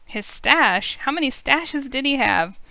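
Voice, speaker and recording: A woman's voice: high voice, lively intonation, a little slow, gravelly, bright, forceful tone, no room sound. A woman reading visual novel narration expressively as the host of a playthrough.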